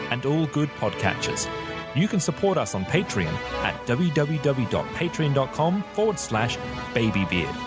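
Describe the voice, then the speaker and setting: A man working as game master, narrating a tabletop role-playing game in a casual style. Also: a little high. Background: music.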